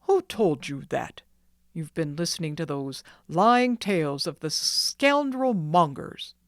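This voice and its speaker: A woman's voice: low voice, animated, very slow, creaky, a little mellow, no echo, studio quality, pitched below the performer's own voice, exaggerated voice. A woman voicing a big-voiced, stern but kindly old country doctor in a storybook reading. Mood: indignant.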